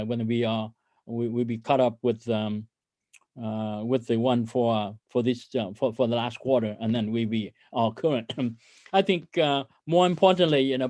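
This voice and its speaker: A man with a mid-pitched voice addresses investors as a company executive. His delivery is halting and hesitant, often stumbling as he goes. The sound is dry, with hardly any room echo. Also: a little mellow. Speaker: company CEO hosting an investor conference call. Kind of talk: corporate earnings call presentation.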